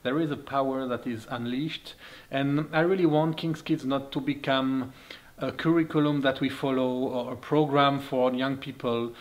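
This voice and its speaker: An earnest man from Switzerland in his forties, speaking as his in a steady, mid-pitched voice. He speaks as a youth ministry leader, sharing a testimony and encouragement. His phrasing is careful, with occasional turns. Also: normal speed, lo-fi sound.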